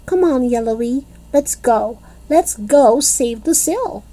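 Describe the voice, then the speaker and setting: A woman voicing a bossy, excitable little kindergarten girl who talks loudly with childish grammar. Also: on the high side, measured pace, pitched above the performer's own voice, exaggerated voice. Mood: urgent.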